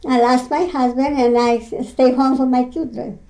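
A woman in her nineties from Greece with a Greek accent, recounting her mill work in an oral history interview at home, halting with many fillers and simple non-native grammar. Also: sits high, fairly even intonation, warm tone, poor audio. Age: 90s. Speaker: interviewee, retired mill weaver.

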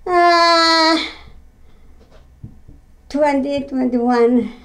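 Slow, halting Greek-accented woman in her nineties. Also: high-pitched, a bit bright. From Greece. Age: 90s. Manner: halting, many fillers and restarts, simple non-native grammar, laughs often. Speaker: interviewee, retired mill weaver. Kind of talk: oral history interview. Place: her home.

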